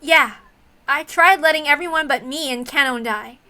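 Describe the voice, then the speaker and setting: High, defiant young heiress, acted by a woman. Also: moderate pace, bright, forceful tone, faint music behind. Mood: anguished resolve. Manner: blunt, slangy, emphatic.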